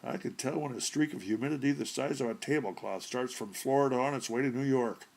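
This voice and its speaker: A man voicing an irritable, solid middle-aged citizen in a short story reading, curt and grumbling, with a gravelly, husky voice. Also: medium pitch, fairly quick, a little mellow, voice pushed up. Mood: commiserating.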